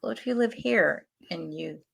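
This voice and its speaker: A woman's voice: lowish voice, lively intonation, moderate pace, gravelly, a bit bright, slightly rough. A young woman co-hosting a podcast, telling stories in a chatty, laughing way. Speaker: podcast co-host. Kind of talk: casual chat.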